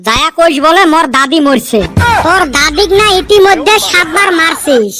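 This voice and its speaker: A woman's voice: very high-pitched, expressive, moderate pace, over loud music. A woman voicing a lazy, wisecracking village schoolboy in a comedy cartoon, with a western Assam accent. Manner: wisecracking, grumbling.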